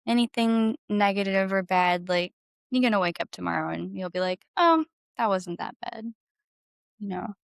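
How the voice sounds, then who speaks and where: A woman talks casually as a guest in an interview, with plenty of creak in her voice. Her manner is informal and playful. The recording is dry and free of room sound. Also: mid-range voice, expressive, normal speed, no room sound. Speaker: podcast guest, musician. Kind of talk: casual chat.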